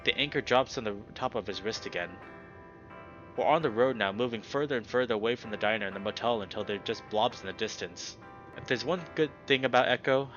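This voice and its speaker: Bright-voiced man reading aloud over music. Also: mid-range voice, highs cut off. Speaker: let's player reading a visual novel aloud. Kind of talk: expressive narration of story text.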